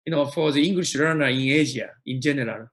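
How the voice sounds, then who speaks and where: A man with a Japanese accent, a native Japanese speaker, hosting a conversational phone interview in a halting way. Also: medium pitch, a bit bright. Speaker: interviewer, host. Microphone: phone call.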